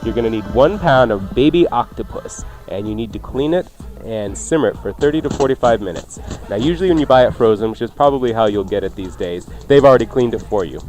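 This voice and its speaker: A man's voice: medium pitch, lively intonation, fast talker, a little mellow, soft background music. A man hosting a radio cooking show in a casual, jokey, chatty style. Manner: jokey, informal banter.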